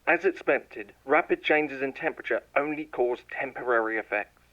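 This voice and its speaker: A man's voice: mid-range voice, lo-fi sound. A man playing a clinical lab scientist logging experiments. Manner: clinical, measured log dictation. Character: male lab scientist logging tests, growing frightened. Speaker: scientist recording lab logs. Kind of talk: scripted horror audio narration.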